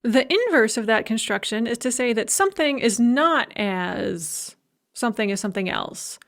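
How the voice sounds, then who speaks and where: A woman lecturing on Russian grammar, speaking clearly and measuredly, and slowing down to model the Russian example sentences. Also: on the high side, lively intonation. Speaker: university Russian language lecturer. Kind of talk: recorded language lecture.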